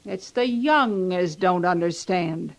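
An actress playing a bitter, hard-bitten older frontier laundress with a rural American accent, scolding and sarcastic in an old-time radio drama. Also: female voice, fairly low pitch, takes it slowly. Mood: bitterly sarcastic.

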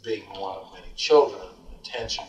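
An American man conducting a casual interview, asking probing questions in a halting way and rephrasing as he goes. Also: lowish voice, highs cut off. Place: hotel suite, away from the mic. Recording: roomy, distant archival interview tape.

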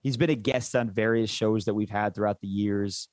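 A man's voice: lowish voice, steady tone, normal speed, a little mellow, no room sound. A man who works as a sports play-by-play announcer, chatting casually and enthusiastically in an interview, rambling into detail.

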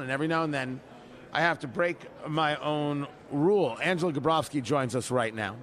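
A man hosting a radio interview at a political conference, talking in a brisk, conversational way. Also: on the high side, a bit bright. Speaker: radio host.

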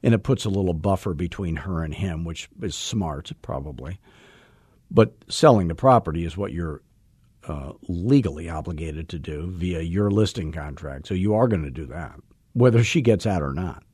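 A man's voice: deep voice, expressive, moderate pace, darkish tone, breathy edge, dry recording, clean studio sound. A man hosting a sales coaching call-in show, blunt and wryly teasing his caller.

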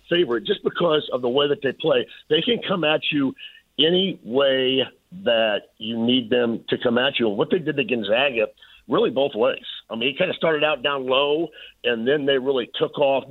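Hoarse man over a tinny phone line. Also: medium pitch, no room sound. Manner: emphatic, repeats key points. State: losing his voice, slightly hoarse. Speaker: sports radio analyst. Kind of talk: phone-in sports analysis.

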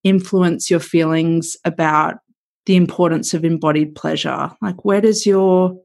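Gravelly-voiced woman host asking questions. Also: on the low side, fairly even intonation, darkish tone. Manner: halting, rephrases questions as she asks. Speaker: podcast host. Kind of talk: interview questioning.

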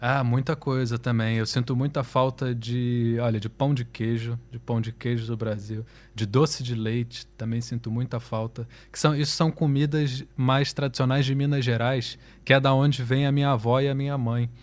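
A Brazilian man from Rio de Janeiro with a softened Carioca accent, a musician chatting as a guest and laughing easily. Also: mid-range voice, fairly even intonation, normal speed, few pauses. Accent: softened Carioca Brazilian Portuguese. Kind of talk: relaxed interview chat.

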